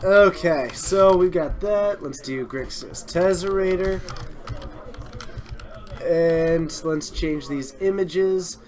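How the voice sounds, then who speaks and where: A man's fairly high voice, strained as he slowly loses it, runs a live card game stream. He chats eagerly, hypes the match and laughs at his own slips. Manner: chatty, excitable, self-deprecating. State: losing his voice. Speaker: stream host and commentator.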